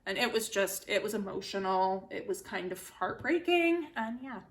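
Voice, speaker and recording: A woman's voice: mid-range voice, expressive, moderate pace. A young adult woman chatting casually in a book vlog, with a giggly, self-deprecating manner. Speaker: book vlogger, channel host.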